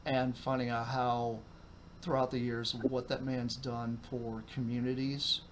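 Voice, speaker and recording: A man's voice: medium pitch, little pitch movement, measured pace, slight background noise, poor audio. Rambling man on a podcast. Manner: rambling, lots of fillers. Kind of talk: casual chat.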